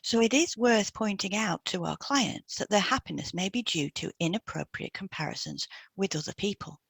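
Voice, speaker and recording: Female co-host for scripted explainer audio. Gravelly edge to the voice, recorded dry. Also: mid-range voice, expressive, moderate pace, dry recording. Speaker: podcast co-host, hypnotherapist. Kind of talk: scripted educational narration.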